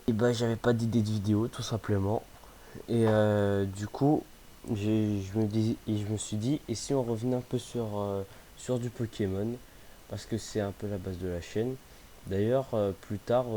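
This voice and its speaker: A man's voice: lowish voice, dark tone, slight background noise. A young man with a cold talking casually about games, hesitant, with many fillers and restarts. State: has a cold, sniffly. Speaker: gaming youtuber. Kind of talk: casual vlog chat.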